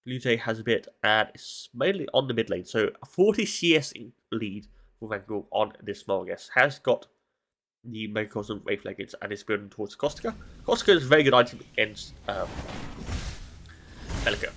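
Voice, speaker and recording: A man's voice: on the low side, animated, moderate pace, a bit bright. Stuffy-nosed man casting a video game. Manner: casual, analytical, thinking aloud. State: congested from hay fever. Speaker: esports caster analyzing a match. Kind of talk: solo game commentary.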